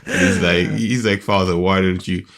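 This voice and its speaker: A man's voice: low voice, fairly even intonation, moderate pace, fairly bright tone. A man co-hosting a casual podcast chat, mostly murmuring agreement. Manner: mostly short backchannel murmurs. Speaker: podcast co-host.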